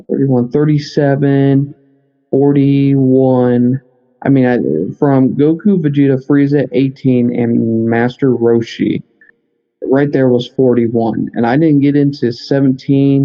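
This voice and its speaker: A man with a mid-range voice chats as a podcast guest, working through his thoughts out loud in a halting way. The sound is slightly dull and lo-fi, as from a computer mic on a remote call. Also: medium pitch. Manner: halting, thinking aloud as they go. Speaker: podcast guest, anime fan expert. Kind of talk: casual podcast discussion. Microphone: computer mic, remote recording.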